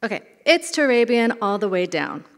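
A woman giving a short conference presentation from a lectern, in a brisk, plainspoken way. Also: on the high side, very animated. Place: large hall, at a lectern. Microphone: podium microphone. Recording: hall reverb.

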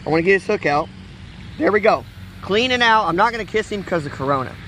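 A man's voice: high voice, lively intonation, fast talker, a bit bright, poor audio. An American man chatting casually to viewers in a folksy way, outdoors on a handheld camera. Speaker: vlog host, father fishing with family. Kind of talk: casual outdoor fishing vlog chat. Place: outdoors beside a park pond. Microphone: handheld phone camera a few feet away. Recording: outdoor ambient noise, handheld camera mic.

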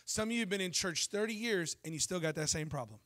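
A man in his thirties preaching a sermon on a church stage into a handheld mic, telling lively stories and asking the congregation questions. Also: high voice, fast. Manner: animated storytelling preacher, jokes and asks the crowd questions. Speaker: pastor.

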